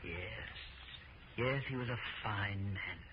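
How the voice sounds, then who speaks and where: A noisy, muffled vintage radio recording holds a gentle village schoolteacher. He speaks slowly and haltingly, wistful and reflective, in 1940s radio drama style. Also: on the low side.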